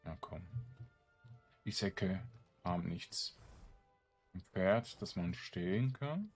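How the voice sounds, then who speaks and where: Deep-voiced man commentating a game. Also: expressive, slow, husky. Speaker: gamer, let's play host. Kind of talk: casual let's play commentary. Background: game music.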